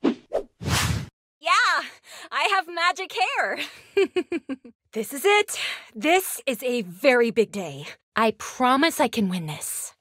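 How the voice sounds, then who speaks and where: A woman voicing an eager, sweet young princess in an animated video game, excitable and expressive. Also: high-pitched, slow, long pauses, dry recording, clean studio sound, heavily acted. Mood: excited, determined. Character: bubbly, eager young princess with magic hair.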